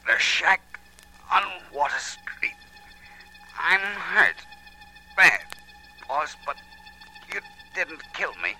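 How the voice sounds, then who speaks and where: An actor playing a wounded underling in a 1940s radio crime drama, speaking in halting, strained gasps to his boss. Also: fairly high pitch, big pitch swings, sharp tone, faint music behind, exaggerated voice, low-quality recording. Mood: pained pleading. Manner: halting, strained, gasping speech.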